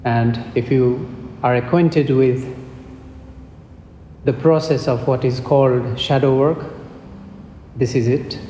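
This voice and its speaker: A man leading a guided meditation as its teacher, speaking in a measured way with pauses between short phrases. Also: mid-range voice, dark tone. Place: indoor group meditation session. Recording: roomy hall reverb with background noise.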